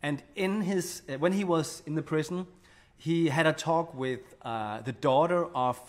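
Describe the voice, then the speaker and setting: A man in his thirties with a Danish accent, co-hosting a livestream from home, searching haltingly for English words. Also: on the high side. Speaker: co-host of a music livestream. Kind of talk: casual chat. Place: living room at home. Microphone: studio mic on stand, far camera.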